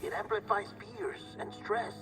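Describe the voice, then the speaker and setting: An echoey, high-pitched, anxious scientist aide. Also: male voice, a little slow. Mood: grave, urgent. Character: anxious, precise male scientist aide delivering warnings and summons. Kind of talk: video game cutscene acting. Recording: roomy echo with music behind.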